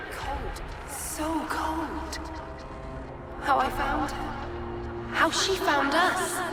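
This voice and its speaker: A woman voicing a sorrowful, confused spirit in a video game, speaking in halting, dreamy fragments. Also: high-pitched. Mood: wistful, grieving. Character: sorrowful confused female spirit echoing a dead woman's memories. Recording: cavernous echoing reverb, distant, music behind.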